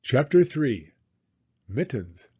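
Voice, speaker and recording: A man reading a storybook aloud to young children in a deliberate, expressive storytelling way. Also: mid-range voice, husky, muffled recording.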